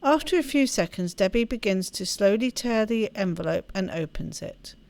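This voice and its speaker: A woman's voice: medium pitch, expressive, moderate pace, a little mellow. A woman hosting a gaming video, chatting casually and reading story text aloud with expression. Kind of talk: let's play chat and expressive read-aloud narration.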